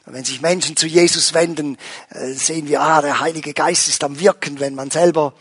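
A man preaching a sermon to his congregation, rising in pitch and volume when he stresses a point. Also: a little fast, slightly rough. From Switzerland. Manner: animated, rises in pitch and volume for emphasis. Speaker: pastor.

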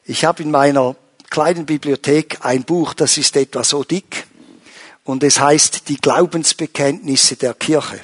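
A man preaching a sermon to his congregation, rising in pitch and volume when he stresses a point. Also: a little slow, slightly rough. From Switzerland. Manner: animated, rises in pitch and volume for emphasis. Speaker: pastor.

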